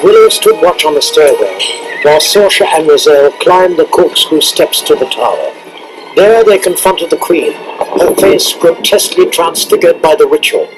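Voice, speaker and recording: A man giving dramatic scripted narration for a children's read-along cassette, over loud music and effects. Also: a little high, expressive, normal speed, poor audio. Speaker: storybook narrator.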